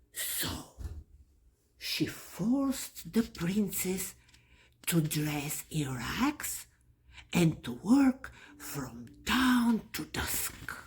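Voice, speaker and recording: A woman reading a children's bedtime story aloud expressively, with dramatic pauses and breathy drops. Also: medium pitch, darkish tone.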